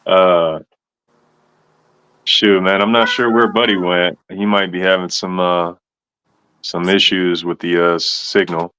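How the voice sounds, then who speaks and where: A man's voice: low voice, steady tone, slow pace, pauses often, pushed and bright, clear, resonant tone, dry recording. A man in his thirties hosting a casual interview, laid-back and slangy, calling people man and bro. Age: 30s. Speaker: host.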